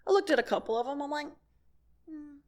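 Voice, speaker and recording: Higher-voiced middle-aged woman telling personal stories. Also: lively intonation, a little fast, a little hiss or hum. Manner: candid, wry storytelling with acted-out dialogue; laughs as she talks. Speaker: guest speaker at a church service. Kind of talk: personal testimony talk to a congregation.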